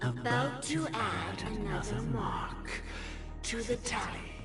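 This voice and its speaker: An otherworldly game villain, solemn and very slow, his voice swinging in pitch with stately menace. He sounds distant and echoing, like many beings in one. Music plays clearly behind him. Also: wildly swooping pitch, heavily acted. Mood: cold menace. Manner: slow, stately, formal, coldly threatening. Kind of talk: dramatic video game voice acting.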